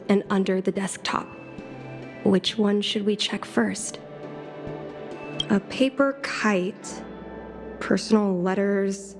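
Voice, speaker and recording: A woman voicing an earnest, thoughtful young girl in a video game, with some hesitation in her speech. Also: medium pitch. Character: earnest young girl, daughter longing to be a pilot. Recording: clean game dialogue over background music.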